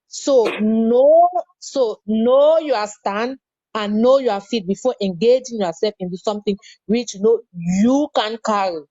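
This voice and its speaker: A woman's voice: on the high side, measured pace, mellow, no echo. A woman giving earnest, emphatic advice in a casual chat. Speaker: guest. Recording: dry, dull lo-fi sound.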